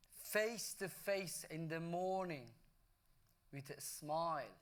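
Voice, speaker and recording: Slow man reciting poetry. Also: fairly high pitch. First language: Persian. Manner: measured, lingering phrases, soft drop at line ends. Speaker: poet reciting in concert. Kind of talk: slow spoken-word poetry recital over music. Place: concert hall. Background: instrumental music.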